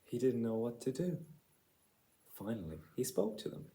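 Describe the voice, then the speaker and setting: A man reading a picture book aloud as storyteller, deliberate and dramatic in his delivery. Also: medium pitch, very animated, dark tone. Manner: deliberate, dramatic, with repeated phrases.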